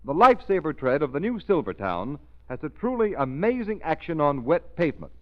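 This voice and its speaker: Animated 1930s radio announcer, American man. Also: fairly high pitch. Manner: emphatic salesman's delivery. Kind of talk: 1930s radio advertisement read. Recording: muffled 1930s radio recording.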